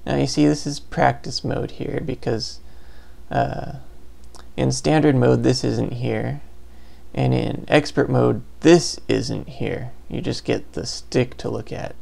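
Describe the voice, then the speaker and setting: A woman's voice: a bass voice, vocal fry, mellow. A woman giving casual walkthrough commentary as she narrates her way through a video game. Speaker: let's play narrator.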